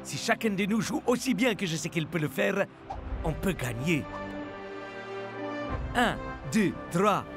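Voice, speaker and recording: The young captain of a cartoon football team. He speaks calmly and encouragingly, his voice sitting slightly high. Loud music runs beneath his lines. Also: unhurried, pauses often. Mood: determined. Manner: calm, encouraging team talk. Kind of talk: French cartoon dubbing.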